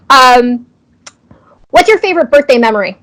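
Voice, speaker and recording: A woman's voice: high-pitched, sharp tone, low-quality recording. A woman interviewer asking casual, friendly questions and responding in an encouraging, appreciative way. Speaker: interviewer, host.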